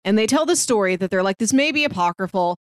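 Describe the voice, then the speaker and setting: A woman's voice: mid-range voice, lively intonation, fast, dry recording, clean studio sound. A woman co-hosting a podcast, chatting casually about films and telling anecdotes. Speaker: podcast co-host.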